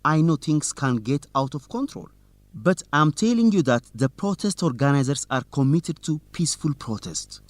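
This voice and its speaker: A man's voice: on the high side, animated, normal speed, no echo, lightly acted. A man playing an earnest, confident young student reporter in an educational radio drama. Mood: earnest, reassuring.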